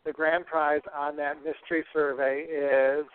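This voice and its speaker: Higher-voiced man hosting over a tinny line. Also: flat delivery, unhurried, clean tone, no echo. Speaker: podcast host. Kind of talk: casual live show chat, announcements. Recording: narrow, tinny phone-like broadcast line.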